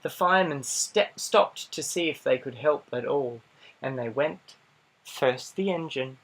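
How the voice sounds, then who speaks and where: A man reading a children's picture book aloud in an animated style, with dramatic pauses and playful asides to young listeners. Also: mid-range voice, rough recording. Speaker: storybook reader.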